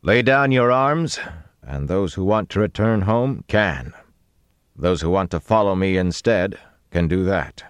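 A man voicing a firm, unyielding king in an audiobook, with a measured, authoritative delivery. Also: a bass voice, expressive, husky, dry recording, heavily acted. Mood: firm, commanding. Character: young male king, commanding, firm, unyielding.

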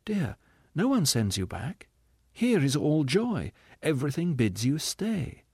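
A man voicing a gentle, radiant woman who speaks in a soft, earnest, pleading way. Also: fairly high pitch, very animated, a little slow, husky, no room sound, clean studio sound, voice pushed up, heavily acted. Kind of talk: audiobook character reading.

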